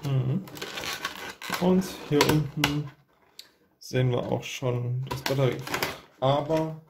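A man's voice: medium pitch, slow, background noise. A man hosting an unboxing with casual hands-on commentary, recorded indoors at a table. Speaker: unboxing video host.

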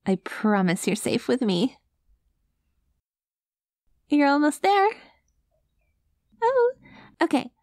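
Casting: woman as cute alien girl for ASMR roleplay, highish voice, naive, gentle, hesitant, close dry sound. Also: dry recording, exaggerated voice. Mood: gentle, reassuring. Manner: naive, literal, hesitant, reassuring.

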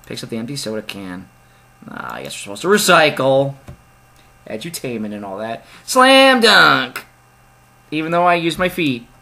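A man's voice: mid-range voice, normal speed, vocal fry. A young man playing a point-and-click game, reading its text aloud and joking about it. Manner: reads game text aloud, jokey and excitable asides. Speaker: let's play gamer. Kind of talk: casual let's play commentary.

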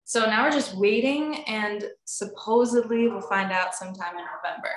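A young woman in her twenties, a singer, being interviewed over a video call from a room at home. She talks in a bubbly, animated way and laughs often. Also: mid-range voice, moderate pace. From United States, family from Crete. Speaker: guest, recording artist. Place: indoor room at home with instruments on the wall. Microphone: video call, webcam. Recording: roomy video call audio.

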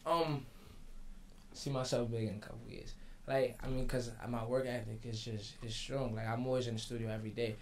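A teenage man from the Bronx, a rapper guest answering in a laid-back, slangy, halting way in a radio studio. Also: medium pitch, steady tone, slight background noise. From the Bronx, New York. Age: teens. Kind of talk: casual interview. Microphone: studio mic on stand.

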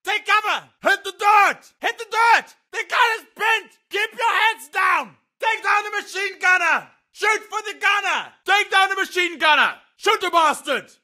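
An actor playing a battle-hardened British First World War soldier in a video game, barking short, urgent lines with a British accent. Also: male voice, extremely high pitch, measured pace, hardly any pauses, bright, forceful tone, shouting, full character voice. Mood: urgent, commanding.

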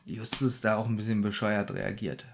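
A man's voice: on the low side, fairly even intonation, normal speed, vocal fry, slight background noise. A man co-hosting a podcast in casual debate, insistently repeating his point. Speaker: podcast co-host.

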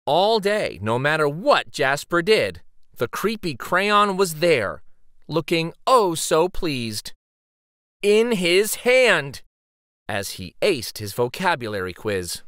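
A man reading a children's picture book aloud as dramatic, suspenseful narration, with theatrical pauses and emphasis. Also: on the high side, very animated, a bit bright, clear, resonant tone, no echo, studio quality.

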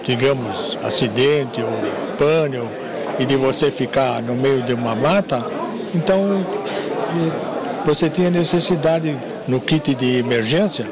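A Brazilian man in his eighties answering in an interview, pausing haltingly between phrases. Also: mid-range voice. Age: 80s. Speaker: retired pilot and collector being interviewed. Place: large indoor fair hall. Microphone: handheld interview microphone. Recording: noisy fair hall chatter.

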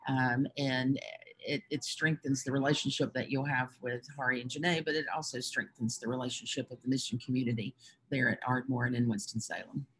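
Man, husky, earnest, ums, video call. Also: mid-range voice, steady tone, brisk, fairly bright tone, low-quality recording.

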